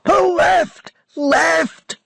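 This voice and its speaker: A man voicing a brave young rower shouting urgent orders in a storm. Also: very high voice, unhurried, creaky, husky, no echo, studio quality, pitched above the performer's own voice, exaggerated voice.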